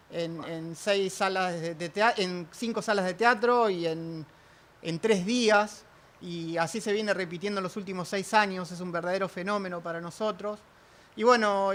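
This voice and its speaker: High-voiced Argentine man hosting. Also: fairly bright tone. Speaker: festival host, interviewer. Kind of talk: informal thanks and closing remarks.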